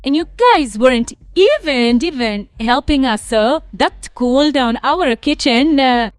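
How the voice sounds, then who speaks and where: High-pitched aggrieved young daughter-in-law, acted by a woman. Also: expressive, slow, clean tone, dry recording. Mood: reproachful. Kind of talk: dramatic cartoon story dialogue.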